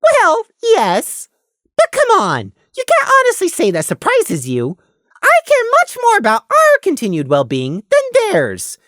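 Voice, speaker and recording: A woman's voice: very high-pitched, huge pitch swings, measured pace, fairly bright tone, no echo, clean studio sound, voice pushed up, exaggerated voice. A woman voicing a small male hoofed creature, a self-serving worrier with morbid wit who argues and pleads in an audiobook. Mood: weakly pleading. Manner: wry, pleading, argumentative. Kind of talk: fan fiction audiobook character voice.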